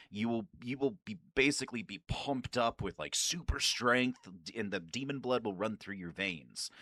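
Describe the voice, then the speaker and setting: Low-pitched man, bright, casual commentary. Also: dry recording, studio quality. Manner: excitable, sweary, informal. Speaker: video host.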